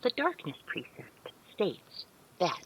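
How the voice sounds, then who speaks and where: A woman's voice: on the low side, wildly swooping pitch, slow, a little hiss or hum, heavily acted, poor audio. An actress playing a meek, naive, otherworldly female servant in an audio drama, speaking in careful, stilted, deferential phrases with hesitant hums. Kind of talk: scripted audio drama roleplay.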